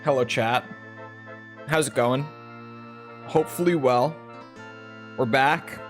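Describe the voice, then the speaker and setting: A man streams a game and chats to his viewers in a joking, upbeat way. His voice sits in a mid range and sounds a little rough. Faint music plays behind him. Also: mid-range voice, takes it slowly. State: slightly rough voice. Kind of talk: casual live-stream chat over gameplay.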